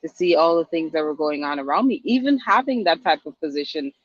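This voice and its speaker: A woman with a fairly low voice answers interview questions hesitantly, pausing often with ums. The audio is dry and dull, a bit lo-fi. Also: no echo. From Guyana. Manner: hesitant, halting, many ums. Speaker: guest attorney.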